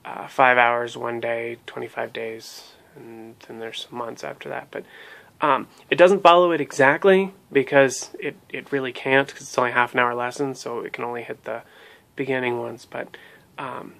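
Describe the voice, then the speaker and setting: A man's voice: medium pitch, level tone, normal speed, vocal fry, fairly bright tone. A man in his thirties giving a casual spoken review of a language course to his webcam in a small room at home, hesitating often with ums and restarts. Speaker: language learning vlogger. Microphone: webcam at desk.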